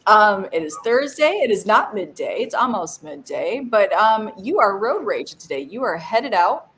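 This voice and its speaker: A woman's voice: medium pitch, sing-song, moderate pace, a bit bright. A woman co-hosting a casual live stream, giggly and a bit phlegmy, greeting viewers by name. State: phlegmy, coughing.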